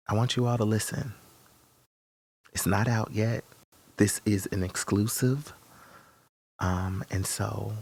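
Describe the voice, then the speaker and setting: Slow, creaky-voiced male podcast host. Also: fairly low pitch. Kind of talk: casual podcast introduction.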